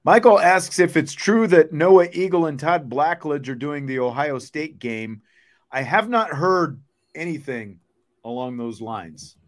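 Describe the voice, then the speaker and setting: A man's voice: on the high side, measured pace. A man hosting a sports podcast, chatting casually and thinking aloud as he answers viewer comments.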